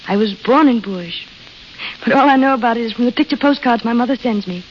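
Woman as a frank young American waitress, highish voice. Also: brisk, close to an everyday voice. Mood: wistful, confiding. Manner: plain-spoken, frank. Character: blunt, restless young desert waitress, dreamy and wistful. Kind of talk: 1930s-40s radio drama acting. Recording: muffled, hissy vintage radio recording.

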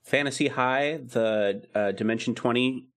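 A man's voice: medium pitch, measured pace, fairly bright tone. A man co-hosting a podcast in casual chat, laid-back and easily enthused, with many "um"s. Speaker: podcast co-host.

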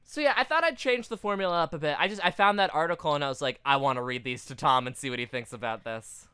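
A man with a forward, bright voice in a very dry recording. He talks like a podcast co-host in relaxed conversation, loose and informal, with plenty of swearing. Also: on the high side, quick pace, clean tone, no room sound. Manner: casual, frequently swearing. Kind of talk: casual podcast chat.

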